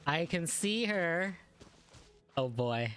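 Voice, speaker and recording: A woman's voice: on the low side, lively intonation, fairly bright tone. A woman giving casual, slangy, playful reactions to a video game as a streamer. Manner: slangy, playful, drawn-out words. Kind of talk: casual gameplay reaction commentary. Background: faint game music.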